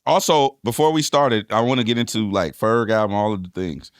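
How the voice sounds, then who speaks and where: A man co-hosting a podcast with a deep voice, telling playful, animated stories and acting out scenes. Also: a little fast, no room sound, clean studio sound. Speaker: podcast co-host, DJ. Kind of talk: casual chat.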